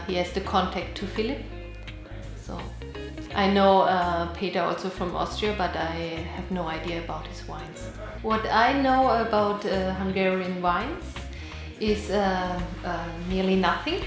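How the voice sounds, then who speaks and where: Female winery rep, halting interview answers, very roomy sound with music behind. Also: on the low side. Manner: hesitant, with fillers. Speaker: winery representative. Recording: very echoey with background music.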